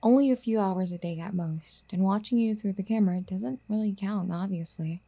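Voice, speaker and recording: A woman playing a doting, clingy, obsessive girlfriend in an ASMR roleplay, speaking in a soft, breathy, coaxing half-whisper. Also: medium pitch, brisk, close to an everyday voice. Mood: sheepish, apologetic. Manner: cooing, coaxing pet-name sweet talk.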